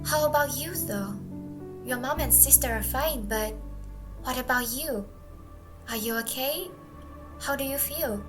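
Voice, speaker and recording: A very high young female voice from a woman actor in a radio drama. She plays a caring, anxious friend, pleading and concerned, over light music. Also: measured pace, pauses often. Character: anxious, caring teenage girl, worried friend of refugee. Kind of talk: amateur radio drama acting.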